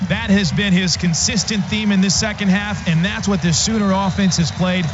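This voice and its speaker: Man, sports reporter, high voice, emphatic punchy phrasing, dull audio. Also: fairly even intonation, moderate pace. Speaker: football broadcast reporter. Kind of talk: live sports commentary. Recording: muffled broadcast, loud stadium noise.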